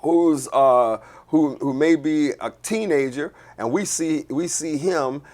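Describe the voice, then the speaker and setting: Animated male pastor hosting a discussion. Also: fairly high pitch, slow. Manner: animated, repeats and restarts phrases. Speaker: host and pastor. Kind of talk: casual discussion.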